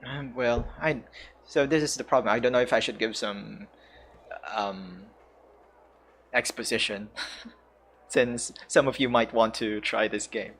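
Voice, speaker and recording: A man's voice: mid-range voice, measured pace, pauses often, a bit bright. A man with a Filipino accent giving casual game commentary as a streamer, hesitant and searching for words. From Philippines. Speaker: streamer and English teacher.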